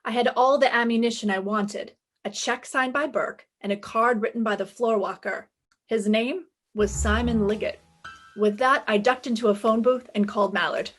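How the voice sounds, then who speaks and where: Actress for sassy radio-play woman sleuth; confident, wry, on the high side, faint music underneath. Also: steady tone, normal speed, fairly bright tone. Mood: wry narrating. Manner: breezy, wry, commanding. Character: sassy, confident female private detective. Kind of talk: 1950s radio detective drama, scripted.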